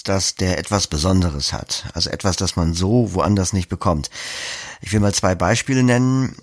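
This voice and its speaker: A man with a very deep, creaky voice talks as an interview guest. He explains in an orderly way, with examples. The recording is dry and close, with no room sound. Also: no room sound. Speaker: podcast producer, interview guest.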